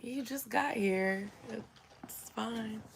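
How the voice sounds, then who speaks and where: A young woman with a somewhat raspy voice, chatting casually to her viewers and saying "y'all" and "like" a lot. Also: fairly high pitch, drawn-out words. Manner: chatty, says "y'all" and "like" often. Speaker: vlogger. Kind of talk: casual chat to camera.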